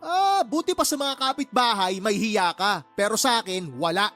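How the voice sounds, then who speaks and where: A Filipino actress playing an angry, reproachful younger sister in a radio drama, scolding and sarcastic. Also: female voice, mid-range voice, expressive, normal speed, a bit bright, soft background music, natural voice. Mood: sarcastic, hurt. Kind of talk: Tagalog radio drama acting.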